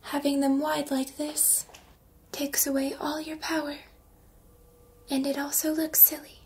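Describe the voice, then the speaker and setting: Soft, slow, high-voiced robot woman instructor, acted. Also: level tone. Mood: calm, instructive. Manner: measured, precise, instructional. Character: female combat robot trainer, calm, exacting, formal. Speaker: combat instructor. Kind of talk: soft-spoken ASMR roleplay, often whispered.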